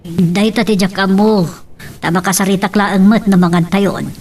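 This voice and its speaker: A woman's voice: medium pitch, fairly even intonation, normal speed, a little mellow, natural voice. A woman playing a caring, fussing middle-aged mother in a radio soap. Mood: concerned, chatty. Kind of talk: Philippine radio soap acting.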